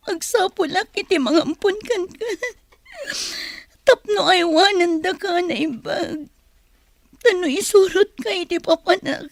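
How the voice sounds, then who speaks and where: High-voiced, distressed young mother in radio drama. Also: creaky, no echo, full character voice. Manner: emotional, pleading, sighing. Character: distressed young mother fleeing an abusive partner, tearful, pleading.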